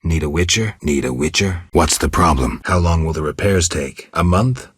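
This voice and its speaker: An actor playing a gruff, stoic, world-weary monster hunter in a video game, speaking tersely and deadpan in a gravelly, husky voice. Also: male voice, rumbling low, moderate pace, no room sound, clean studio sound. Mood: terse, guarded.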